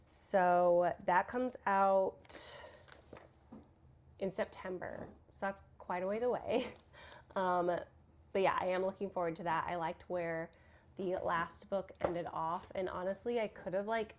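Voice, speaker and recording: A woman's voice: lowish voice, fairly even intonation, measured pace, a little hiss or hum. A young woman with a cold talks about books in a casual chat at home. She fills her talk with "like" and "um" and sniffles as she goes. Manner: chatty, filler-heavy, "like" and "um". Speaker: book vlogger. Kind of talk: casual chat while crafting. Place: home room with children nearby.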